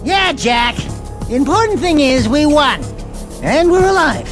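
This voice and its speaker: Squeaky, wisecracking little animal sidekick. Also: male voice, sing-song, unhurried, a bit bright, soft background music, exaggerated voice. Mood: cheeky. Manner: exaggerated, comic. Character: small wisecracking male animal sidekick, boastful and cheeky.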